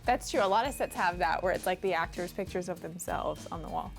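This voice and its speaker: A woman's voice: lowish voice, fairly quick, gravelly, faint music behind. A young woman from Canada interviewing actors on a TV set, enthusiastic and effusive. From Aurora area, Ontario, Canada. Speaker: entertainment interviewer. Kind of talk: casual interview. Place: indoor TV studio set.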